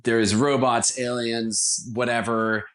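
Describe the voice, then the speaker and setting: A man's voice: medium pitch, flat delivery, slow pace, fairly bright tone. A man talking casually and enthusiastically as an interview guest, with emphatic turns of phrase. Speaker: podcast guest, theater founder.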